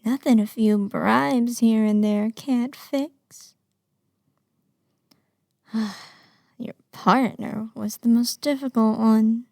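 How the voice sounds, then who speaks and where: A woman acting an obsessive, lovesick young kidnapper in an intimate ASMR roleplay, sweetly menacing with breathy murmurs and sighs. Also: fairly high pitch, steady tone, drawn-out words, long pauses, mellow, no room sound, studio quality, exaggerated voice. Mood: smug.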